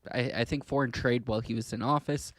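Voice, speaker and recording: A man's voice: medium pitch, steady tone, fast, dry recording. A man from Canada co-hosting a podcast, talking politics casually in a hesitant way, stumbling over his words. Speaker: podcast co-host.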